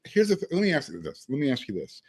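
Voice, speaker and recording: A man's voice: medium pitch, huge pitch swings, fast talker, husky, no echo. A man hosting a casual sports talk show, giving his opinions as a fan and putting questions to a guest. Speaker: sports podcast host.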